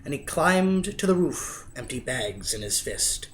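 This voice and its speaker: A man reading a rhyming children's story aloud in an expressive, theatrical and emphatic way. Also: mid-range voice, a little slow, slight background noise. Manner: theatrical, emphatic, swelling and dropping for effect. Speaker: storybook reader.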